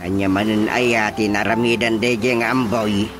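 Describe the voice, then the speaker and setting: A man acting an excitable, exasperated village chairman in an Ilocano radio drama comedy, exclaiming and scolding theatrically with an Ilocano accent. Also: fairly low pitch, normal speed, music in the background, natural voice. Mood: shocked, indignant.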